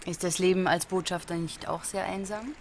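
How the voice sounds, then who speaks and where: An actress playing a reserved, inquisitive investigator in a radio crime drama, composed and questioning. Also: female voice, lowish voice, steady tone, normal speed, slight background noise, lightly acted.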